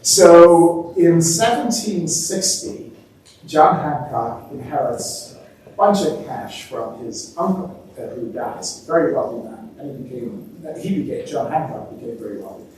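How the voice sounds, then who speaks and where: A man's voice: fairly high pitch, animated, measured pace, a little mellow. A man presenting an informal history lecture to an audience, telling stories conversationally and posing rhetorical questions. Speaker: museum talk presenter. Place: indoor museum talk before a live audience. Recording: very echoey room, distant mic, faint noise.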